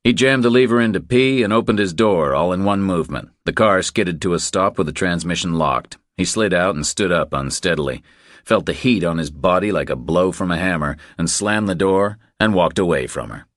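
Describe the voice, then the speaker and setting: A man's voice: deep voice, normal speed, a bit bright, no echo, clean studio sound. A man reading a thriller as a scripted audiobook narration.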